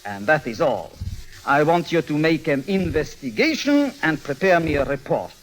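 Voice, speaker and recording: Imperious tycoon, animated pitch. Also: male voice, rough recording. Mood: grave, commanding. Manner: formal, commanding, deliberate phrasing. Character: imperious, secretive, very rich middle-aged European tycoon. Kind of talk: 1950s radio drama acting.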